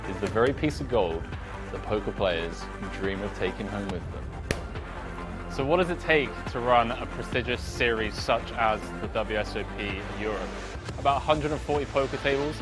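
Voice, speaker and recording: A man's voice: fairly low pitch, normal speed. A man giving an upbeat, scripted tour of a poker festival venue. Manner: polished, upbeat presenter delivery. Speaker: presenter. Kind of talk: scripted promotional tour presentation. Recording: with loud music bed.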